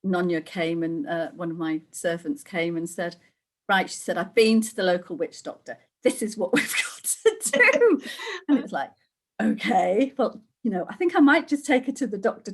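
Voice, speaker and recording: A middle-aged woman from Wales, a guest who chats casually, laughs often and acts out the voices she quotes. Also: lowish voice. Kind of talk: casual conversational interview.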